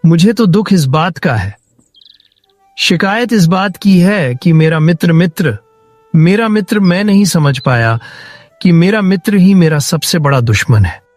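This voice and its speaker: A man's voice: sits high, animated, a little slow, a little mellow, no echo. A man playing a poor, honest farmer in a cartoon moral story, earnest and given to repeating phrases for emphasis. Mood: wounded, resigned. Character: poor honest woodcutter farmer, kind and principled.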